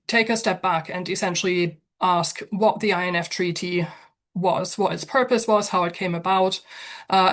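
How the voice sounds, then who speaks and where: A woman lecturing over a video call, her voice a little croaky from a cold. She speaks in a flat, even tone at a lowish pitch. Her delivery is careful and measured, with the odd restart. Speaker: guest speaker. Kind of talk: online lecture.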